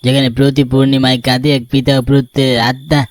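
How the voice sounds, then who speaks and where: A man voicing an all-knowing tantric ascetic in an animated ghost story, with a grave, commanding manner. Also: mid-range voice, brisk, lightly acted. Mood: solemn, knowing.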